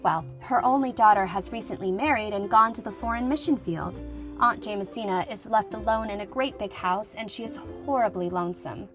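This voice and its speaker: A woman playing a lively young schoolteacher reading out her letter in a conversational, wryly humorous way, in an acted audiobook. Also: mid-range voice, fairly quick, lightly acted. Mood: eager, friendly. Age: young adult. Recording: music playing behind the voice.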